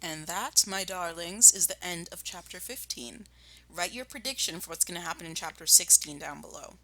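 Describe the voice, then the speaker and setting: A woman teacher reads a storybook aloud for children with expressive phrasing. Her voice sits slightly low but has a very bright, forceful edge. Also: moderate pace, poor audio. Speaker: primary school teacher.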